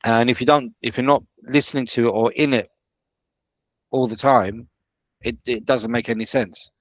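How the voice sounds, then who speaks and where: A man's voice: medium pitch, fairly even intonation, moderate pace, no room sound. A man from the United Kingdom, a language student, talking in a casual interview, hesitant with lots of fillers and restarts. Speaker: student guest.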